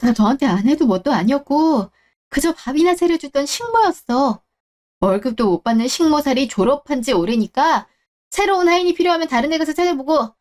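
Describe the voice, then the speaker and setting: A woman voicing a divorced company boss in a radio drama, scornful and scolding. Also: high-pitched.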